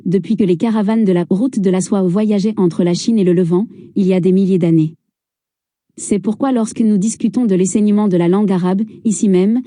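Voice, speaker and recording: Female reader, formal and solemn; very dark, mellow voice recorded dry. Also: medium pitch, dry recording. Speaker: reader of a translated speech. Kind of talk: formal reading of a speech.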